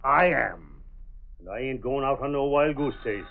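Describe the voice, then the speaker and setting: An actor playing an impatient, bossy American police sergeant, gruff, slangy and brusque, in old radio drama. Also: male voice, medium pitch, expressive, normal speed, phone-quality sound, a little hiss or hum. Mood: irritated. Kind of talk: 1930s-40s radio drama acting. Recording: thin band-limited vintage radio recording.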